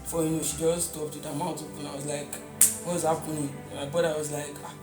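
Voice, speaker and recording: A young man with a Nigerian accent, speaking quietly and hesitantly about his late mother. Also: on the high side, little pitch movement, fast. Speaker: interviewee. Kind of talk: reflective interview answers. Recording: roomy echo with music behind.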